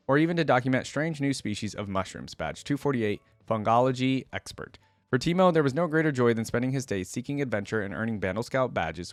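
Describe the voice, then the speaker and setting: A man hosting a reaction video in an informal way, reading passages aloud and pausing to comment on them. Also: lowish voice, dry recording.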